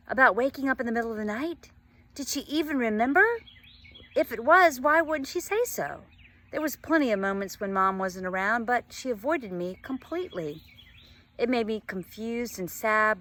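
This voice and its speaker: Female storybook reader, bright clear-cut tone, animated delivery, outdoor garden setting. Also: medium pitch. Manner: animated, acts out dialogue. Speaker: teacher reading a book aloud. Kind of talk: expressive storybook read-aloud. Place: outdoors in a garden bed in a yard.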